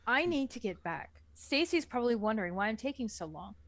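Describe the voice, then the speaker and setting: A woman's voice: fairly high pitch, sing-song, brisk, a bit bright, no echo. A woman reading scripted first-person story narration as the narrator of an amateur book reading.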